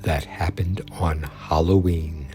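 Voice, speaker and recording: A man's voice: a bass voice, fairly even intonation, takes it slowly, dark tone. A man reading scripted documentary narration of true stories. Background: music.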